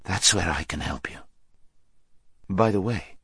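A man voicing a proud, haughty talking war horse in a storybook, lofty and brisk, scornful of humans. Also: sits low, fairly bright tone, slightly rough, no room sound, clean studio sound, heavily acted. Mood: brisk, persuasive.

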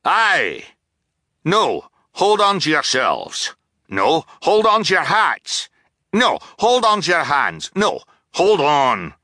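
A man voicing a cheerful, scatterbrained enormous male submarine captain who booms out contradictory orders. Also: huge pitch swings, slow pace, pushed and bright, no echo, clean studio sound, heavily acted. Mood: cheerful, scattered. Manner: booming, blurting contradictory orders.

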